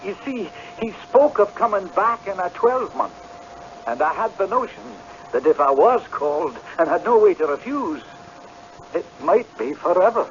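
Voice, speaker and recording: Superstitious, whisky-loving Scottish piper, theatrical and exclamatory, very animated delivery, hissy radio recording. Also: male voice, high-pitched, normal speed. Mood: anxious, deferential. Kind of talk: radio drama acting. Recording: muffled, noisy old radio broadcast.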